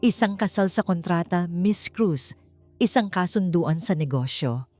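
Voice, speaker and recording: A woman's voice: fairly low pitch, lively intonation, a little slow, a little mellow, faint music behind. A woman narrator voicing a formal, direct male lawyer in a storybook romance. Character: formal, emotionless male lawyer making a business offer. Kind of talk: storybook character dialogue.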